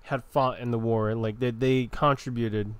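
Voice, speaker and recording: A man giving casual, halting commentary as he plays through a video game. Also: medium pitch, fairly even intonation, clear, resonant tone, no echo. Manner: halting, hesitant. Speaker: gameplay streamer.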